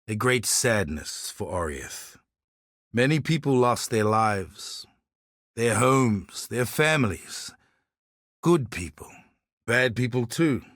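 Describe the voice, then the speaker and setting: A man's voice: mid-range voice, a bit bright, dry recording, clean studio sound. An actor voicing a proud, earnest and wry islander man who seeks justice for his enslaved people, in scripted video game dialogue. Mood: solemn.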